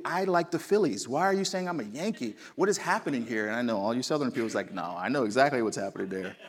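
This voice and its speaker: South Jersey man preaching conversationally. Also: mid-range voice. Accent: South Jersey American. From New Jersey, United States. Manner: conversational, folksy storytelling. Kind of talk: sermon. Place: church sanctuary.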